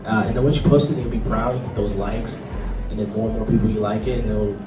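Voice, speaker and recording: A man's voice: lowish voice, steady tone, fast talker. A man speaking informally and encouragingly as a panelist, in a casual convention talk. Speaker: panelist, comic artist. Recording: roomy, distant mic, loud music.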